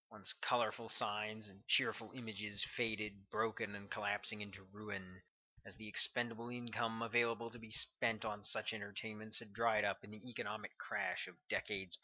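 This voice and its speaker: A man's voice: on the low side, little pitch movement, normal speed. A man narrating his own novel as a scripted audiobook. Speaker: author reading own audiobook. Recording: dull, lo-fi recording.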